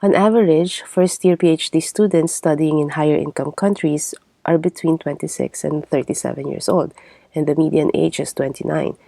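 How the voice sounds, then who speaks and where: Casting: woman, thirties, podcast host with a low register, close dry sound, articulate and reflective scripted delivery. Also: steady tone, moderate pace, creaky, dark tone, no room sound. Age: 30s.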